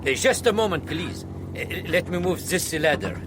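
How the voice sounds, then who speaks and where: An actor playing a polite ship's master in a radio drama, halting, apologetic and a little secretive. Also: male voice, expressive, lightly acted. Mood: courteous, uneasy. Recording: noisy vehicle-like rumble behind the voice.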